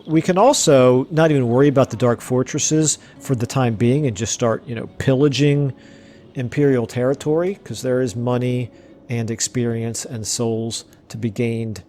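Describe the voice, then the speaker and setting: Man, let's play host, hoarse, mellow tone, thinking aloud, casual game commentary. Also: medium pitch, a little slow, slight background noise. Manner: musing, thinking aloud with fillers. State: hoarse, losing his voice.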